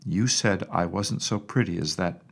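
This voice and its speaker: A man's voice: deep voice, moderate pace, gravelly. A man voicing a stern, skeptical woman, a children's nurse, scolding and dismissive in storybook dialogue. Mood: exasperated.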